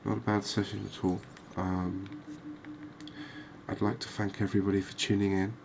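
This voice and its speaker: A man giving relaxed, unhurried, musing ASMR-style gameplay commentary, chatting to his viewers. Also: on the low side, creaky, background noise. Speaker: host of a cozy fishing game channel.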